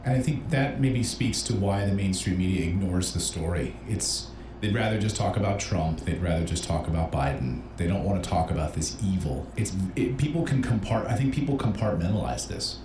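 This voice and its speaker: A man's voice: deep voice, lively intonation, fairly quick. A man conducting a serious interview as the interviewer. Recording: noisy, echoey interview recording.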